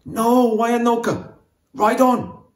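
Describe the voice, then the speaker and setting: A man's voice: very high-pitched, slow, echoey room, voice pushed up. A man voicing a bold, encouraging teenage boy rider in an audiobook, calling out emphatically. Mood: desperate. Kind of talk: audiobook character dialogue.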